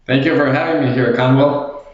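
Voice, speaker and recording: A man's voice: medium pitch, fairly even intonation, moderate pace. A man from Guatemala talking as a guest on a podcast, hesitant and casual, with many fillers. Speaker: guest, fund manager. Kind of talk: podcast interview. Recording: very echoey, dull remote audio.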